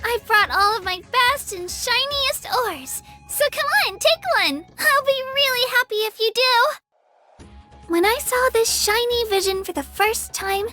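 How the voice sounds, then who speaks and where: A young girl miner from a video game, with a very high, bright voice. She is timid but eager, sweet and earnest, and easily flustered into little stammers. Soft music sits behind her. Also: animated, measured pace, exaggerated voice. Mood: eager, friendly, then warm, earnest. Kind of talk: animated game character voice lines.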